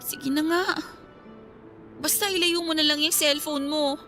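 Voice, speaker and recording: A woman's voice: sits high, fairly even intonation, a little slow, pushed and bright, soft background music. A woman with a Filipino accent playing an anxious, submissive young girlfriend in a radio drama. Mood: worried, reluctant.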